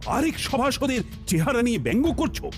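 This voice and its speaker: A man's voice: very high voice, big pitch swings, brisk, noisy background. A man voicing a stern, easily outraged cartoon king who scolds and commands with emphatic repetition. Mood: angry. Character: indignant, stern but simple cartoon king.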